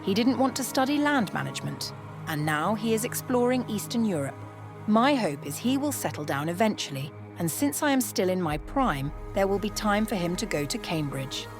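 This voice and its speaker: A woman's voice: medium pitch, big pitch swings, normal speed, voice pushed up. A woman voicing a proud, scheming older aristocratic grandmother in a storybook, formal and assured. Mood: self-assured. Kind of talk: period storybook dialogue. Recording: music behind the voice.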